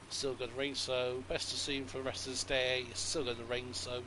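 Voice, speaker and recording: A man's voice: medium pitch, level tone, brisk, background noise. A man with a stuffy nose from a cold, casually thinking aloud as he plays a farming game for his channel. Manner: rambling, thinking aloud while playing. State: congested from a cold. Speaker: gaming channel host. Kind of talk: casual gameplay commentary.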